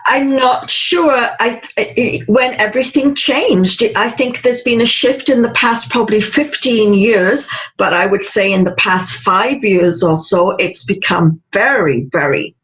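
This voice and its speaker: A woman's voice: medium pitch, unhurried, hardly any pauses, lo-fi sound. A woman hosting an interview, talking emphatically and telling stories with feeling. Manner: emphatic, animated storytelling. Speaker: podcast host. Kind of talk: casual interview chat.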